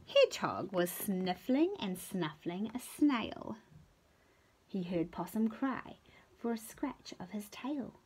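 A middle-aged woman reads a children's story expressively, with playful drawn-out emphasis and hushed moments. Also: mid-range voice, big pitch swings, slightly rough. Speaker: picture-book reader. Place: small furnished room at home.

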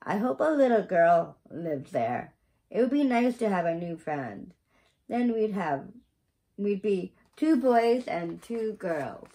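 A woman's voice: medium pitch, big pitch swings, slow pace, dark tone, low-quality recording. A woman voicing an eager, friendly little girl from a storybook, reading earnestly and carefully. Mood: hopeful.

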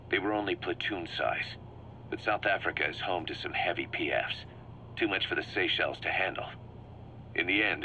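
A man's voice: sits low, bright, forceful tone. A man playing a driven, shrewd mercenary commander in a video game, briefing his boss in an emphatic, assured way. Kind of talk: scripted video game dialogue, explaining plans. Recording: muffled cassette-like sound with background hum.

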